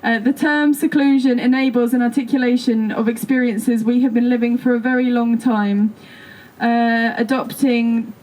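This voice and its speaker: A woman's voice: on the high side, little pitch movement, normal speed, a little mellow, poor audio. A woman giving a live performance reading of stories and texts in a theatrical storytelling manner. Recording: music behind the voice. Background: music.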